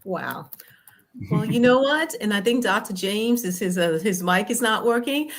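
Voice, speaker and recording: A woman with a medium-pitched voice co-hosts a talk show. She speaks conversationally, guiding the discussion and handing over to her colleagues. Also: animated, moderate pace. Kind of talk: conversational hosting.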